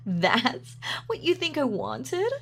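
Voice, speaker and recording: A woman's voice: high voice, full character voice. A woman playing a sadistic, gloating female supervillain in a scripted roleplay audio, taunting and savoring her words theatrically. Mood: mocking amusement. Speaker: voice actor.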